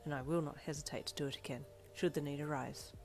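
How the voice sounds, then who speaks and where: A woman's voice: sits low, fairly even intonation, fast talker, darkish tone, soft background music. A woman voicing a polite, earnest young man, a devoted boyfriend who speaks formally and precisely, in a story.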